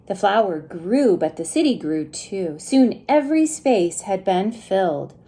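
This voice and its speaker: A woman reading a picture book aloud to young children in an expressive, gentle way, pausing to ask them questions. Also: medium pitch. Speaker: preschool story time reader.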